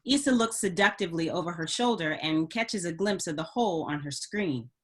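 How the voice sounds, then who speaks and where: A woman's voice: fairly low pitch, animated, brisk, a bit bright, slightly rough. A woman reading stage directions as scripted narration for a screenplay table read.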